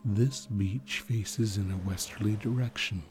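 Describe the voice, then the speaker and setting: A man leads a guided sleep meditation with a soothing, very slow delivery. His voice sits on the low side, and he leaves long pauses between phrases. Music plays clearly behind him.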